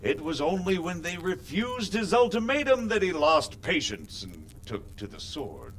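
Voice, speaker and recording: An actor playing a courteous medieval nobleman in a game cutscene, speaking formally and deliberately. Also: high-pitched, sing-song, fairly bright tone, slight background noise, full character voice. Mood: explanatory. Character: measured, courteous medieval nobleman plotting politics. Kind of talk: video game cutscene acting.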